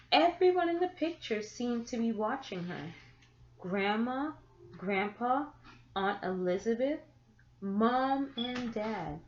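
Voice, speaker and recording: A woman reading a children's picture book aloud, with deliberate, expressive phrasing and drawn-out words for young listeners. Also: fairly high pitch. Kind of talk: children's story read-aloud. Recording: echoey room, slightly muffled sound.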